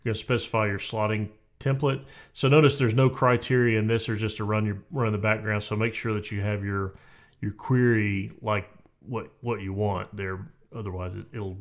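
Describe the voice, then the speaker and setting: A man in his forties giving a relaxed, conversational software walkthrough from a home office, with frequent fillers and restarts. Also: on the low side, creaky. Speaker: software tutorial presenter. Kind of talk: casual screen-recorded software walkthrough.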